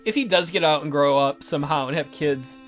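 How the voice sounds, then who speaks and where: A man hosts a let's play, reading narration from a visual novel in a casual way. He drops in deadpan, joking comments, and music plays behind him. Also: on the high side, fairly quick.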